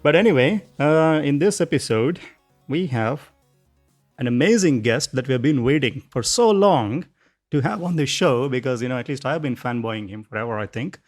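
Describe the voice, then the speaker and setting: Man, podcast host, casual chat, joking, halting restarts, faint music behind. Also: mid-range voice, expressive.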